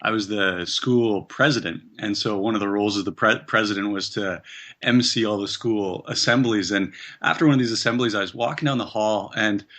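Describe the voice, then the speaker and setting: A man's voice: lowish voice, brisk, a bit bright. A Canadian man talking as a guest on an interview podcast, upbeat and chatty, laughing easily. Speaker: podcast guest, personal trainer. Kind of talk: casual interview conversation.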